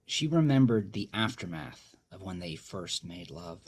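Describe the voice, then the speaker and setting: Very low-voiced male story narrator. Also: big pitch swings, unhurried, husky. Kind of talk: scripted dramatic fiction narration. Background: plucked guitar music.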